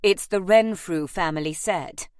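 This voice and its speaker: A woman voicing a proud, scowling young gentleman in an audiobook, with a gruff, curt, snappish manner. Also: on the low side, unhurried, no echo, clean studio sound, natural voice. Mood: growling, defiant. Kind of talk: audiobook character acting.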